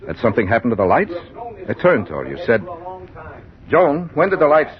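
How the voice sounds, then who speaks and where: An actor playing an anguished, fearful man confessing in halting, fervent phrases, in 1940s radio style. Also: medium pitch, big pitch swings, a crowd in the background, lightly acted. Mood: bewildered, agitated. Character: anguished young man, lifelong fearful, confessing his story. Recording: muffled old radio drama recording.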